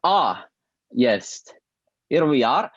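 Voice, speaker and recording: A man reading the part of a calm male acting teacher at a play table read, speaking in a deliberate, lecturing way. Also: mid-range voice, breathy edge, dry recording, dull audio, heavily acted.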